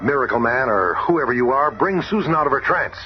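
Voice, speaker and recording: An actor playing an earnest, authoritative scientist hero with crisp, declarative lines in 1970s radio drama style. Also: male voice, mid-range voice, lively intonation, fairly quick, lightly acted. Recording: noisy, muffled 1970s radio recording.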